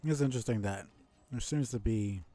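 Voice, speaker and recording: A man giving casual gaming commentary as a streamer, talking in a laid-back, slangy, halting way. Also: on the low side, dark tone, soft background music.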